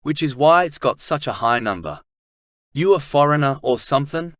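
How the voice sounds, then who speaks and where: A man's voice: a little high, animated, moderate pace, no room sound, clean studio sound, voice pushed up, lightly acted. Chatty mailman, voiced by the narrator: folksy, friendly, slightly raised.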